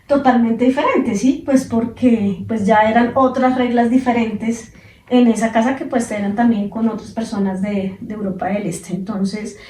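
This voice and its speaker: A woman in her forties chats easily on a podcast, sprinkling her speech with fillers. Her voice sits a little high but sounds warm and mellow. She is picked up from a distance in an echoey room. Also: normal speed. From Colombia. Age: 40s. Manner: relaxed, many fillers like "pues". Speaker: podcast co-host. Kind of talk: casual conversation.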